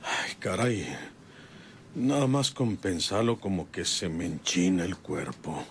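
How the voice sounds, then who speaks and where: Very deep, gravelly Mexican ranch patriarch. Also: male voice, slow, a little hiss or hum, heavily acted. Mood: brooding, uneasy. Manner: folksy, ponderous, brooding. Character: gruff, domineering middle-aged ranch patriarch, brooding. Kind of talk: radionovela acting, rural soliloquy.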